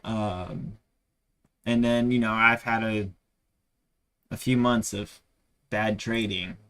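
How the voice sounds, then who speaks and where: A man's voice: lowish voice, fairly even intonation, slow, a bit bright, smooth. A tired man losing his voice, rambling with many fillers as he chats casually on a live trading stream.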